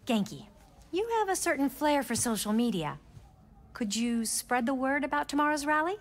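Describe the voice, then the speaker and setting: An actress playing a warm, determined middle-aged mother and community politician in a video game. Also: high voice, very animated, moderate pace. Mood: friendly, earnest. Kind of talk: naturalistic video game acting.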